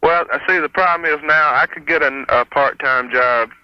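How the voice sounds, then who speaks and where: A man's voice: fairly high pitch, moderate pace, clear, resonant tone, dry recording. A man, calling a radio show and talking casually in a hesitant, sheepish way. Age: 20s. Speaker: caller. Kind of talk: casual phone conversation. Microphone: telephone. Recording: dull lo-fi phone call on radio.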